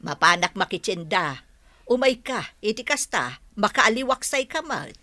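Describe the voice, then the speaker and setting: A woman's voice: medium pitch, big pitch swings, moderate pace, pushed and bright, dry recording. An actress playing a middle-aged mother who fusses at her grown daughter in a radio drama. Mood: fussing.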